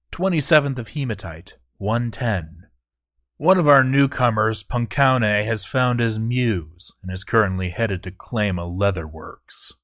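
A proud dwarf overseer, acted out by a man, narrates his fortress journal in a fairly low voice. He is formal and measured, with a self-important, dutiful air, as in a scripted fantasy story. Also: fairly bright tone. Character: proud, stern male dwarf fort overseer, grandly dutiful.

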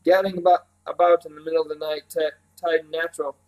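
A man's voice: a little high, level tone, fast, lightly acted, low-quality recording. A man voicing a fond, cheerful older countrywoman, a bustling housekeeper who chatters in rustic dialect. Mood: brisk, firm.

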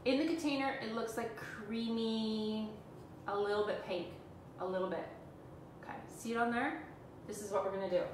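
A woman's voice: fairly high pitch, fairly even intonation, moderate pace. Chatty Canadian woman in an echoey room. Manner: chatty, self-teasing, talks to viewers while working. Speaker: furniture painting tutor. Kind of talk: live painting demo chat. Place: small room indoors, sitting on the floor. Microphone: camera on a stand a few feet away. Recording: echoey room, far from mic, background hum.

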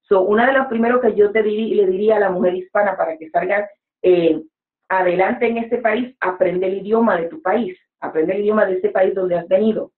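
A woman's voice: mid-range voice, a little fast. Woman preacher in her 40s giving earnest advice over a lo-fi, echoey video call. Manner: earnest, exhorting, with gestures. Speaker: guest preacher. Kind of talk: motivational interview talk. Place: home room with bookshelves. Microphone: webcam on a video call. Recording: echoey room, phone-like sound.

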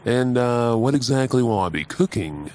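An Australian man playing an affectionate, playful and caring husband in an intimate ASMR roleplay, soft-spoken and teasing. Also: mid-range voice, expressive, normal speed, slight background noise, lightly acted.

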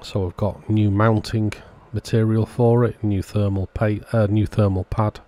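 A man hosts an informal repair narration in a fairly deep voice. His tone is dark and relaxed, with no sharp edge. He explains each step in a plain, matter-of-fact way. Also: unhurried. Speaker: host of a radio repair channel. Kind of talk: informal scripted-sounding voice-over narration of a repair.

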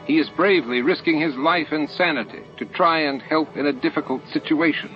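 A man's voice: a little high, a little fast, lo-fi sound, faint music behind, voice pushed up, natural voice. A man voicing a crafty, genial old male alien elder with a courtly way of talking, in an audiobook. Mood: persuasive.

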